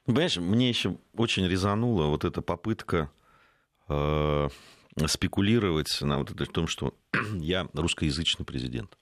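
A man's voice: low voice, animated, normal speed, a bit bright, dry recording. A man co-hosting a political studio discussion, arguing his case and pushing his voice up to stress his points. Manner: argumentative, rising to stress points. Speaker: political talk show co-host.

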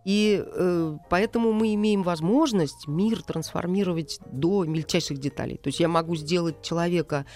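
A woman's voice: lowish voice, normal speed, few pauses, a little mellow, faint music behind. A woman speaking as a guest in a conversational radio interview, explaining her work as an animation director with illustrative asides. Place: radio studio.

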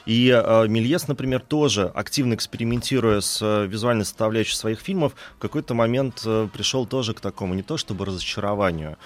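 A man's voice: lowish voice, brisk, few pauses. A man giving a conversational lecture as an expert guest, often searching for his words. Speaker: expert guest on animation history.

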